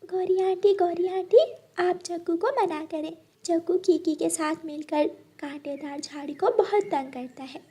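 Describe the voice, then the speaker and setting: A caring little cartoon girl bird, played by a woman, with an extremely high, mellow voice. She speaks sweetly and earnestly. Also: fairly even intonation, a little slow. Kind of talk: Hindi moral cartoon character acting.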